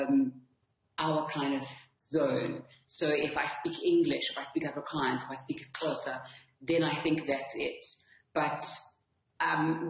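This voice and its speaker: A woman's voice: deep voice, reverberant, muffled recording. A woman with a South African accent giving earnest, articulate, conversational answers as an interview guest. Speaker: author and guest.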